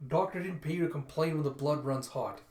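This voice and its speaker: A man's voice: fairly high pitch, brisk, darkish tone, roomy sound, close to an everyday voice. A man voicing a giant battle-hardened ogress with a blunt, commanding manner in a story read-through.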